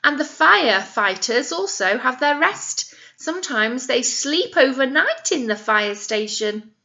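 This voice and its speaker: Female nursery teacher, storytime read-aloud. Slow, very bright delivery, teacherly and expressive, on a slightly dull lo-fi recording. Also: high-pitched, vocal fry. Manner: expressive teacherly, addressing children with questions and emphasised key words. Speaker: nursery teacher reading to children. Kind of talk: picture-book read-aloud with simple explanations for young children.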